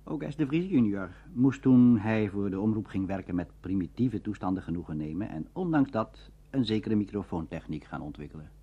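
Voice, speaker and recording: Deep, animated male documentary narrator. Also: brisk, breathy edge. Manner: formal, carefully worded. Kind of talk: scripted narration. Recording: dull archive radio recording.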